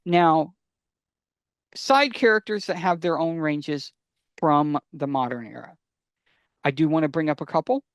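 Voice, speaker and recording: A man hosting a casual fan podcast, talking enthusiastically and sometimes haltingly as he gives recommendations. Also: on the high side, no echo. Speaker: podcast host.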